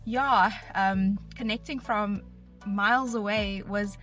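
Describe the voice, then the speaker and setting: A woman's voice: medium pitch, slow, a bit bright. A woman in her twenties with a South African accent, giving a personal testimonial as a recent graduate. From Bloemfontein, South Africa. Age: 20s. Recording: voice over background music. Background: music.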